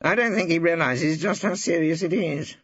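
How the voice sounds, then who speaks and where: A man's voice: fairly high pitch, moderate pace, a bit bright, breathy edge, dry recording, lo-fi sound, pitched above the performer's own voice. A man voicing a sly, ghoulish, slanderous male law tutor with a grating, conspiratorial delivery, in an audiobook. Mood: ghoulish relish. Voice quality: grating, like tearing metal. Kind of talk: audiobook character acting.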